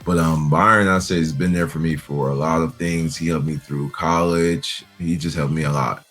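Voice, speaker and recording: A young man with a very deep voice answers questions in a relaxed, easygoing way, leaning on fillers as he talks. Faint music plays underneath. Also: moderate pace. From Nigeria. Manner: easygoing, laughs often, many fillers. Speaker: interview guest. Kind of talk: relaxed conversational interview.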